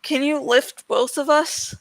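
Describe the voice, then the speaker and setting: A woman's voice: high voice, fairly even intonation, takes it slowly, no room sound. A woman voicing a desperate, determined young man in a fantasy storybook, urgent and pleading.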